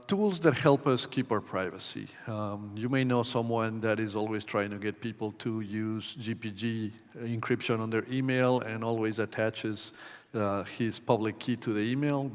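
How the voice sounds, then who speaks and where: A man from Ecuador giving a conference talk in a measured way, with many ums and uhs. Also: medium pitch, smooth. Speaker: conference presenter. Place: conference hall. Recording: long hall reverb.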